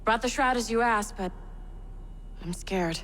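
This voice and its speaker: An actress playing an anxious young woman accomplice, sharp and worried in tone. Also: on the high side, measured pace, long reverb tail, very noisy, natural voice. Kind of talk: video game cutscene acting.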